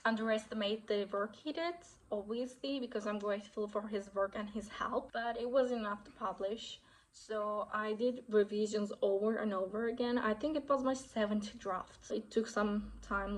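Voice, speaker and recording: A woman's voice: medium pitch, a little hiss or hum, low-quality recording. A young woman from Turkey with a Turkish accent, talking casually to camera in a vlog and often correcting herself with "I would say". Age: young adult. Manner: chatty, self-correcting, frequent "I would say". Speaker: author vlogger.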